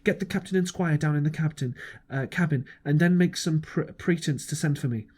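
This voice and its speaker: A man's voice: fairly high pitch, fairly quick, a little mellow, husky. A man voicing an anxious, earnest cabin boy in an audiobook. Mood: urgent.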